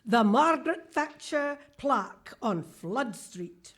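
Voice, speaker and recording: A woman's voice: a little high, lively intonation, slow pace. A woman playing a bossy, exasperated Scottish police superintendent in a comic radio play before a live audience.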